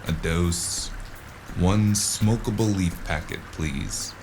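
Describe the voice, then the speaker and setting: Man playing a low, slow noir investigator. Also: background noise. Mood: dry, businesslike. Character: weary, sardonic male noir investigator shaking down street dealers. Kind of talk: noir audio drama acting. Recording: music behind the voice.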